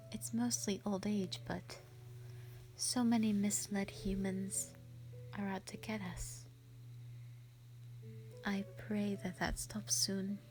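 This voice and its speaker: Woman playing a slow-spoken, gentle shy dragon lady. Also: mid-range voice, poor audio. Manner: soft, breathy, hesitant, sweet and earnest. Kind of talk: roleplay audio drama, intimate one-sided dialogue.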